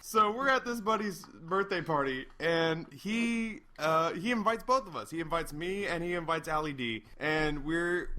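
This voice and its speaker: A man's voice: fairly high pitch, unhurried, hardly any pauses, bright, forceful tone. A young man, drunk, rambling through a profane, self-mocking story among friends around an outdoor fire. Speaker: storyteller host. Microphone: laptop mic.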